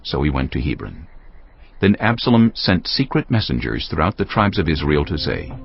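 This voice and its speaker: A man narrating scripture from a script in a measured storytelling manner. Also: extremely low pitch, very animated, vocal fry, slight background noise. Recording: slightly muffled, music underneath. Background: background music.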